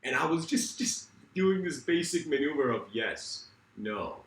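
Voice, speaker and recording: A man's voice: a little high, expressive, unhurried, a bit bright, reverberant, rough recording. A man from Iran whose first language is Farsi, giving a reflective philosophical talk in a rambling, self-correcting way with many fillers. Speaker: speaker.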